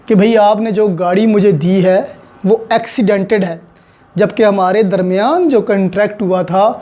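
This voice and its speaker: A man's voice: high voice, warm tone. A Pakistani man giving an explanatory lecture as a teacher, setting out points step by step with examples and repetition. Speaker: law teacher. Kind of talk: explanatory lecture mixing Urdu with English legal terms.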